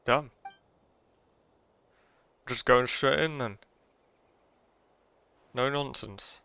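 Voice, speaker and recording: A man's voice: medium pitch, extremely slow, gravelly, pushed and bright, smooth. A man giving casual commentary as he streams a game playthrough.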